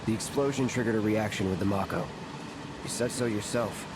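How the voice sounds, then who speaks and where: A low-pitched, aloof young mercenary played by a male actor in a video game. He speaks curtly, with cynical detachment, over a loud vehicle rumble. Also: fairly quick, natural voice. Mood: detached. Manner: curt, detached.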